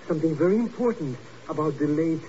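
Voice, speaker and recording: An actor playing a greedy, hypocritical schemer in a 1950s radio drama, with an ingratiating, hesitant stammer. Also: male voice, a little high, expressive, fast talker, warm tone, close to an everyday voice. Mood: sly, wheedling. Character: wheedling, greedy, hypocritical male schemer, an agent's sidekick. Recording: muffled, noisy old 1950s radio recording.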